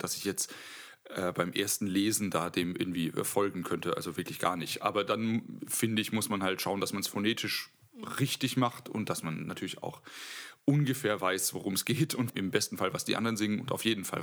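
A man in his twenties, an opera singer, answering interview questions thoughtfully with many pauses and restarts. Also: fairly low pitch, fairly bright tone. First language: German. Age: 20s.

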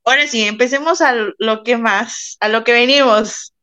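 A woman's voice: fairly high pitch, moderate pace, sharp tone. A woman co-hosting a podcast, chatting in a friendly, upbeat way with guests. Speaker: podcast co-host. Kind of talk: casual chat.

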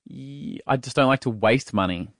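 Australian man hosting, mid-pitched and rambling. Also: normal speed, no room sound, studio quality. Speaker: podcast and radio host. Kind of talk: casual comedic chat.

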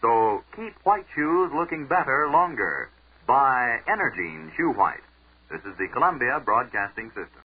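A man's voice: medium pitch, very animated, unhurried, husky, narrow, tinny audio. A man reading a rhythmic radio ad and network sign-off in a 1940s broadcast. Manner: rhythmic, sing-song delivery. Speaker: network announcer.

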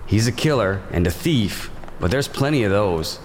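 An actor playing a weary, hardened bounty hunter on the frontier, curt and threatening. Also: male voice, lowish voice, expressive, moderate pace, a little hiss or hum, natural voice. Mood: gruff. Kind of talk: western horror audio drama acting.

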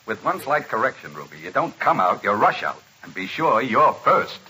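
An actor playing a skeptical, unimpressed betting crony with a dry delivery in an old-time radio drama. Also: male voice, medium pitch, sing-song, moderate pace, very noisy, close to an everyday voice. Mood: instructing.

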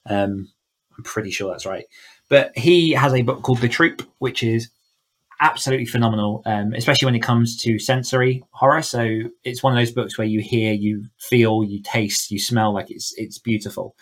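A man's voice: medium pitch, normal speed. A man speaking casually as an interview guest, talking in an enthusiastic, effusive way. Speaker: podcast guest, horror author and coach.

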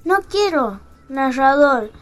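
A cheeky big bad wolf, acted out by a performer in a comic audio fairy tale. The voice sits high and has a whiny, defensive streak, always wanting its own way. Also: lively intonation, slow pace, a bit bright, exaggerated voice. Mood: whiny, demanding.

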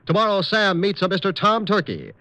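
A man's voice: high voice, huge pitch swings, brisk, no room sound. A male radio announcer reading scripted credits and promotions in mid-century broadcast style. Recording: old radio broadcast with loud music.

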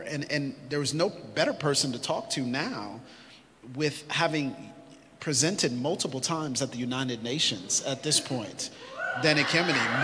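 A man's voice: medium pitch, sharp tone. A man moderating a live conference panel, in a preacherly way that rallies the audience. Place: conference hall stage. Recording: echoey hall, faint noise.

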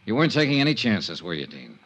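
An actor playing a tough, wry insurance investigator in a 1950s American radio drama, clipped and matter-of-fact. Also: male voice, on the low side, very animated, fast talker, a bit bright, slight background noise. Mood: sardonic, accusing. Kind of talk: 1950s radio detective acting. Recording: vintage 1950s radio broadcast.

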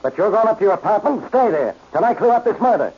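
Irascible cop, vintage radio crime show: raspy, animated, blunt, always exasperated. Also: male voice, high-pitched, fast, full character voice. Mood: stern. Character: gruff, irritable male police inspector. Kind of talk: 1940s radio detective acting. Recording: muffled old radio recording.

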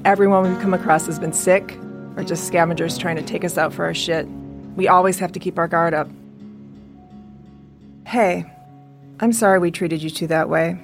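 A woman's voice: lowish voice, steady tone, moderate pace, long pauses, close to an everyday voice. An actress playing a tough, distrustful middle-aged mother in a post-apocalyptic audio drama, talking in a blunt, wary way. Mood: guarded, somber. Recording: music under the voice.

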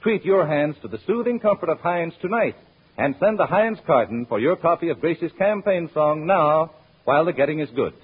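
A man's voice: a little high, animated, fairly quick, slightly rough. An American man announcing in a polished, emphatic 1930s-40s radio advertising style. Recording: muffled, narrow-band old radio recording.

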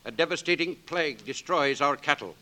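Radio drama minister: formal, declamatory, grave, bright projection with lively pitch. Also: male voice, brisk. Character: grave male French government minister. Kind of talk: 1940s radio drama acting.

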